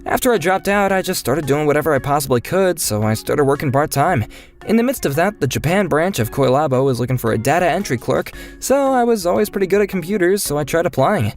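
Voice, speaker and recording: A man plays a modest young office employee telling his story in a manga dub. His voice is fairly high and lively, with an earnest, mild manner and faint music behind it. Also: fast. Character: modest, good-natured young male company employee, story's narrator. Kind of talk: manga dub narration and dialogue.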